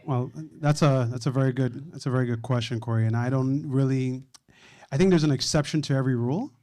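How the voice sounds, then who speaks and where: A man's voice: medium pitch, quick pace, mellow. A man on a live panel, reflectively telling a personal story and often restarting his phrases.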